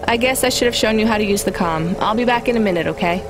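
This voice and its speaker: A woman's voice: mid-range voice, quick pace, close to an everyday voice, poor audio. A woman playing a weary, guarded but caring young female delivery driver in a video game, with a dry, informal manner. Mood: worried.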